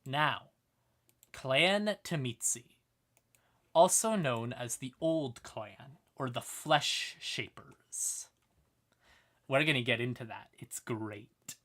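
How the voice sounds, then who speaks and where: Bright, forceful man explaining lore casually. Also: medium pitch, slow pace, pauses often. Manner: irreverent, sweary, conversational. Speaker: livestream host.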